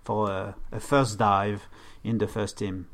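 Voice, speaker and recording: A man's voice: on the low side, flat delivery, slow, a bit bright. A man with a French accent, a native French speaker, chatting casually as a podcast guest and often repeating words as he searches for the phrase. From France. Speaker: podcast guest, gaming streamer.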